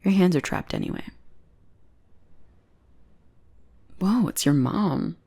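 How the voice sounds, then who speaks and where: A woman's voice: on the low side, expressive, moderate pace, dark tone. A young woman playing a teasing, possessive goth bully who is secretly smitten, in an ASMR roleplay. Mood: smug teasing. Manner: playful, taunting, drops into breathy whispers.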